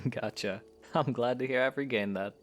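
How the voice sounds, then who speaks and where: A man playing a calm, teasing young knight in an audio drama, with terse, easygoing delivery. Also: medium pitch, unhurried, soft background music, lightly acted. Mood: teasing, matter-of-fact.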